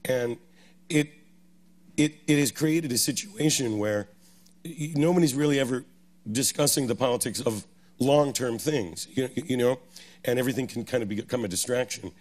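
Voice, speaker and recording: A man on a festival panel, speaking in a halting way with many restarts while losing his voice. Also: mid-range voice, a little mellow. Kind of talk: panel discussion on stage. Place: festival stage.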